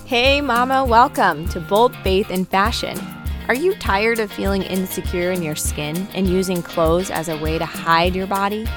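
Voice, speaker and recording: A woman's voice: medium pitch, normal speed. A woman reading a scripted, upbeat welcome for her podcast. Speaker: podcast host. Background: background music.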